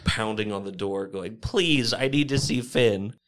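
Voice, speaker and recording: A man's voice: fairly low pitch, a little slow, fairly bright tone. A man playing a tabletop role-playing game, casually improvising in a stop-start, wry way.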